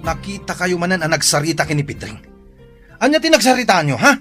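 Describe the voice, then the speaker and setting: Lazy jealous young husband, bossy grumbler, voice jumps all over, radio drama. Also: male voice, high voice, very animated, fast, music in the background. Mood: suspicious.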